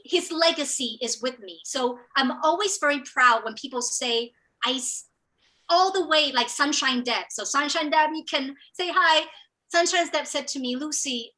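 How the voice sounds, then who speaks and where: A woman's voice: high-pitched, sharp tone, low-quality recording. A middle-aged woman from Taiwan with a polished American-sounding English accent leads a motivational business training. She talks with enthusiasm and often repeats words as she starts a thought. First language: Chinese. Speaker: business coach hosting a training. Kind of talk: motivational live training talk.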